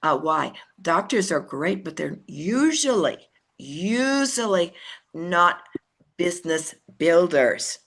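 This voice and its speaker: An older woman with a lowish, gravelly voice runs a sales training session. She talks in a chatty way and jumps into bright, animated voices when she acts out her chats with prospects. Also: slow. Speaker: network marketing leader. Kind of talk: motivational training talk.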